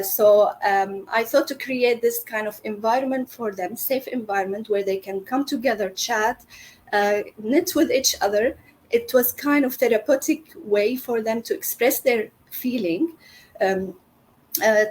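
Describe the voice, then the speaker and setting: A woman's voice: mid-range voice, a little hiss or hum, low-quality recording. A middle-aged woman from Syria, a business founder telling her story in an interview, earnest with many fillers and restarts.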